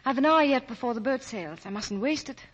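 Young gallant society woman, husky, wistful, poised, muffled old radio sound. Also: a little high, expressive, fairly quick. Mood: wistful, brave. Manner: poised, wistful, lightly playful. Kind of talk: 1940s radio acting. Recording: dull vintage 1940 radio recording.

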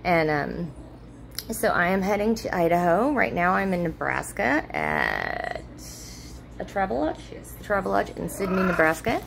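A woman in her thirties talks casually into a phone held at arm's length, her lowish voice full of gravelly fry. She rambles with plenty of ums and restarts. Faint noise hums under the motel room. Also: big pitch swings, moderate pace. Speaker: vlogger. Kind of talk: casual chat to followers.

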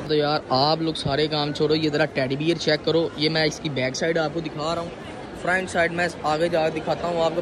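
A man's voice: on the high side, background noise. A young man vlogging to a phone camera in a shopping mall, casual, joking and a little boastful. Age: young adult. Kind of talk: casual vlog chat to camera. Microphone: phone camera at arm's length.

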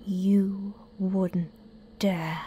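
An actress playing a fanatical, imperious mother superior in an audio drama, solemn and often hissing in a breathy whisper. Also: mid-range voice, level tone, extremely slow, dark tone. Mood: stern. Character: menacing female cult leader, a fanatical mother superior.

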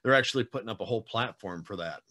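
A man's voice: fairly low pitch, animated, fast talker, bright, forceful tone. A man in his forties hosting a casual interview over a video call from a room at home, chatty and rambling with many fillers and some teasing. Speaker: podcast host. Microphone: webcam video call.

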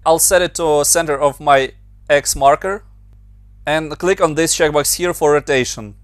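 Ukrainian-accented male tutor, a bit higher voice, very dry close mic, patient step-by-step walkthrough. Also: moderate pace, dry recording. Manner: plain, step-by-step instructions with slightly non-native grammar. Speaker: motion designer and tutorial maker. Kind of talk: screen-recorded software tutorial.

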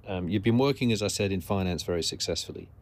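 Low-voiced male podcast interviewer. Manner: measured, probing questions. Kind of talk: interview questions.

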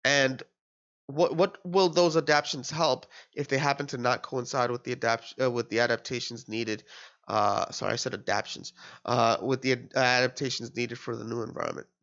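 A man's voice: medium pitch, fairly even intonation, normal speed, fairly bright tone. A man in his thirties working through an exam question as a tutor, sounding nasal from a cold and thinking aloud with fillers and restarts. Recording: dull lo-fi screen recording.

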